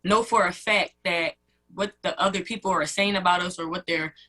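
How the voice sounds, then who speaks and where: A woman's voice: lowish voice, moderate pace, pushed and bright. A woman in her early twenties giving her views as a guest in a radio interview, earnest and conversational. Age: early 20s. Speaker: student guest.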